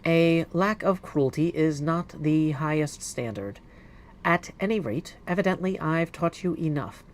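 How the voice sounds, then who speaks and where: A man voicing a stern, dignified witch headmistress from a fantasy game, with formal, measured, archaic speech. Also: on the high side, natural voice. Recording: clear background noise.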